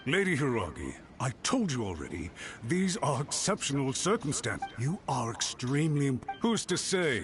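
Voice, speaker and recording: Flustered, obsequious game official. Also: male voice, medium pitch, big pitch swings, normal speed. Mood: indignant. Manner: flustered, pleading, formal. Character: nervous, obsequious male official pleading for favours. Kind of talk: video game voice acting. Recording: music behind the voice.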